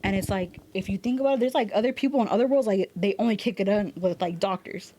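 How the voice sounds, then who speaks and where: A woman's voice: medium pitch, quick pace. Tattoo artist lady on a podcast, hype and slangy, cusses a lot, medium voice. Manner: animated, slangy, swears freely. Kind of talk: casual podcast chat.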